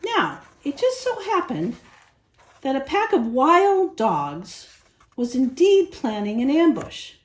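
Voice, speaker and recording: Animated woman reading to children. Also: high voice, slow, rough recording. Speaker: children's storyteller.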